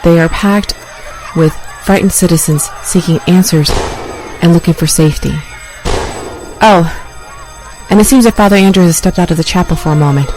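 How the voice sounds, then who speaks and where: A woman's voice: on the low side, normal speed, a little mellow, faint music behind, natural voice, poor audio. A woman playing a field news reporter in a radio drama, with a dramatic on-the-scene delivery. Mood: eager. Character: female field reporter at a riot scene, increasingly frightened.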